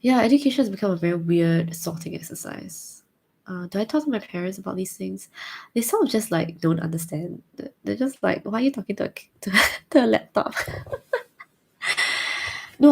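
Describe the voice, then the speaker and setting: A woman's voice: mid-range voice, expressive, a little fast, gravelly, darkish tone, breathy edge. A young woman with a Singaporean accent, thoughtfully answering viewer questions in a casual chat, hesitant with many fillers. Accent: Singaporean English.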